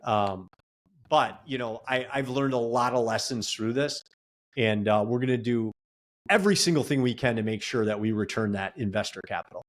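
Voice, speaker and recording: A man's voice: medium pitch, moderate pace. A man in a casual podcast interview, a real estate investor and coach giving earnest advice in long run-on stretches.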